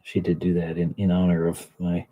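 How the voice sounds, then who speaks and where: A man hosting a livestream, chatting casually and muttering quiet asides to himself as he paints. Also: deep voice, monotone, moderate pace, phone-quality sound. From Canada. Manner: soft, muttering to himself, drifting between quiet asides and chat. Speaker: livestream host painting miniatures. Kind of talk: casual chat while painting.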